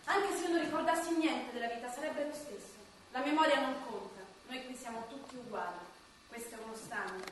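A woman's voice: high-pitched, fairly quick, natural voice. An actress playing a jaded, scornful dead woman in a radio drama, blunt and dismissive in her delivery. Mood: exasperated. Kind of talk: theatrical radio drama acting. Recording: distant, echoey, noisy stage-like recording.

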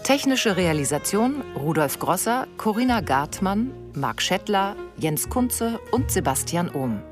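A woman's voice: medium pitch, big pitch swings, a little slow, music in the background. A woman announcer formally reading the credits of a radio drama in a scripted style.

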